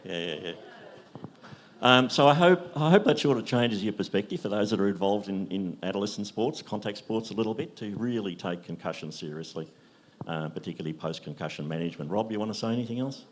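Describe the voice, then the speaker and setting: A man's voice: lowish voice, lively intonation, brisk. A man finishing his conference talk with a loose, jokey wrap-up. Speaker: conference speaker. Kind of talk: closing a presentation. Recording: noisy recording.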